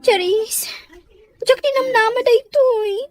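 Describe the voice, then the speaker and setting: A woman's voice: extremely high pitch, unhurried, faint music behind. A woman playing a troubled, defiant teenage daughter in an Ilocano audio drama, with a Filipino accent. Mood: anxious.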